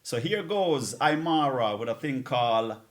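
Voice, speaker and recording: A man's voice: medium pitch, animated, unhurried. A man hosting a music show, chatting casually and informally as a DJ between tracks, with bits of Caribbean-style slang.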